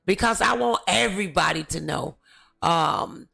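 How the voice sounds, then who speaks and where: A woman's voice: on the low side, a little slow, gravelly, fairly bright tone, slightly rough. A woman hosting a casual interview show, effusive and encouraging with her guest. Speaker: talk show host.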